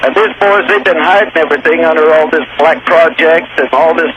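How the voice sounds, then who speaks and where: Folksy Texan caller on noisy line. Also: male voice, on the high side, brisk. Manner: folksy, rambling, down-home phrasing. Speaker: radio call-in caller. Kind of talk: live call to a radio show. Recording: noisy phone line on a radio broadcast.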